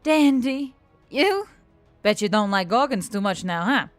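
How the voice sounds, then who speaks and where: A woman's voice: medium pitch, expressive, measured pace, no echo, faint music behind, natural voice. A woman voicing a frazzled, sarcastic human woman soldier with an indignant, exclamatory manner, in an audiobook. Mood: shaky sarcasm.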